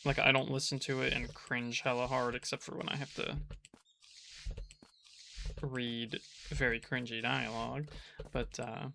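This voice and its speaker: Monotone man with a gravelly edge. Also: mid-range voice, unhurried, pauses often, a little hiss or hum. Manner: monotone, kept quiet; rambling with many restarts. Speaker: gaming channel host. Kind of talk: casual gameplay commentary. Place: apartment.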